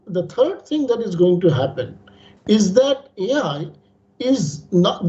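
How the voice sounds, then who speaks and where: A man who is a native Bengali speaker, making structured points on a panel in a measured, emphatic lecturing way. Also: extremely high pitch, darkish tone. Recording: dull lo-fi audio.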